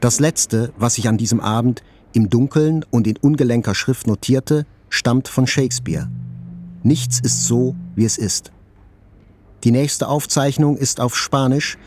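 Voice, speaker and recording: An actor playing a journalist who soberly recalls his own life in measured literary narration. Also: medium pitch, a little mellow, a little hiss or hum, close to an everyday voice. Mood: reflective. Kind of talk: literary first-person narration in audio drama. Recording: faint music behind the voice.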